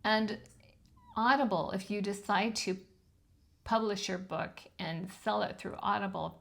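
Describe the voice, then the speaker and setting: A woman over fifty with a high-pitched voice, giving frank, chatty advice on self-publishing with plenty of ums. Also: creaky, a bit bright. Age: 50s or older. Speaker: channel host teaching self-publishing. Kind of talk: casual instructional talk to camera.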